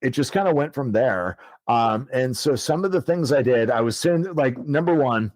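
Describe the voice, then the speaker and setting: A man's voice: medium pitch, animated, moderate pace, no echo. A man in his thirties, an author guest telling his story in a casual, rambling way with many fillers and easy swearing. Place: podcast studio.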